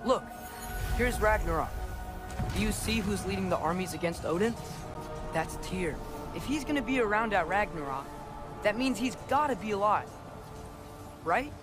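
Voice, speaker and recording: A man's voice: high voice, expressive, normal speed, long pauses, music in the background. An actor playing an earnest, eager teenage boy explaining things in a video game cutscene, slightly hesitant. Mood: insistent.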